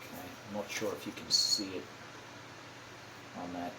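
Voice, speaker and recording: A man giving a casual, unscripted hands-on demonstration, halting and thinking aloud as he goes, often correcting himself. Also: low-pitched, level tone. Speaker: hobbyist demonstrating a kit build. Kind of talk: casual unscripted how-to narration. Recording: clearly noisy amateur home recording.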